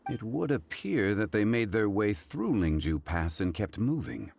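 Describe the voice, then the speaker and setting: An actor playing a calm, dignified ancient god in human form who speaks formally and deliberately. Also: male voice, lowish voice, creaky, background noise, heavily acted. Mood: composed. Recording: game audio with music behind.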